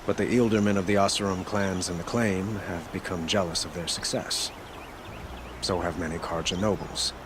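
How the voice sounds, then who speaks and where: A man playing an earnest young king in a video game, speaking in a formal, measured, eloquent way. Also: low voice, steady tone, noisy background, lightly acted. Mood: grave, measured. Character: young sun king, regal, earnest, measured male ruler. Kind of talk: scripted video game dialogue.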